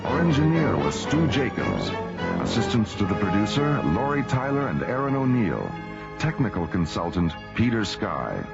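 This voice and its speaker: Deep, animated male radio announcer. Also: moderate pace, creaky. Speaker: announcer and host. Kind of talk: dramatic scripted radio narration. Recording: dull radio recording, loud music behind.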